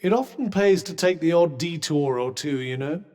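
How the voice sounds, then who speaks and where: A man's voice: a little high, lively intonation, normal speed, darkish tone, in a large hall, lightly acted. An actor playing a wry, teasing male magic cuff in a game, speaking in a well-spoken, sardonic way. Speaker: game companion character. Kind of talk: game voice lines.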